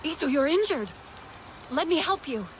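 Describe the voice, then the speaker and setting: Earnest young alien heroine, game cutscene, bit high voice. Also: female voice, expressive, normal speed, slight background noise. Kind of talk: video game cutscene acting.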